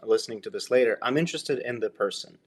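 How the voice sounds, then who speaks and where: A man's voice: medium pitch, quick pace, slightly rough. A man hosting a podcast interview, explaining his interest in people in a rambling, thoughtful way full of fillers. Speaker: podcast host. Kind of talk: casual interview intro.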